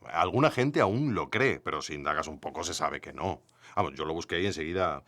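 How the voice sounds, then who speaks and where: A man playing an earnest investigative journalist, narrating a scripted documentary mystery in a deliberate, theatrical way. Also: rumbling low, lively intonation, fairly bright tone, no room sound, heavily acted. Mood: wry, sheepish. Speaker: podcast narrator and investigative interviewer.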